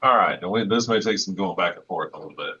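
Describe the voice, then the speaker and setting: A man with a low voice talking casually about recording vocals, thoughtful and rambling with lots of fillers and restarts. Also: fast talker, sharp tone, slightly rough, highs cut off. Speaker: musician discussing vocal recording. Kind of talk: casual podcast chat.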